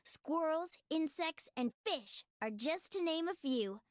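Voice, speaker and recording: A woman's voice: high-pitched, expressive, takes it slowly, no echo, clean studio sound. A woman voicing a sweet, slightly shy little cartoon child who speaks in sing-song rhyme. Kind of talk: scripted rhyming children's game narration.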